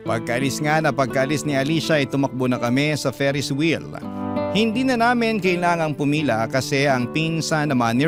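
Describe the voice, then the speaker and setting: A man narrating a first-person love story letter in a heartfelt way, now and then speaking straight to the listeners. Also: mid-range voice. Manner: heartfelt, addressing listeners directly. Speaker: radio drama host and narrator. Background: guitar music.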